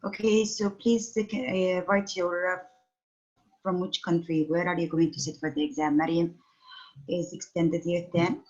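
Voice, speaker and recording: A woman's voice: lowish voice, steady tone, a little slow, a little mellow. A middle-aged woman teaching an online English class over a phone video call, patiently questioning her students. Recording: dull lo-fi call audio.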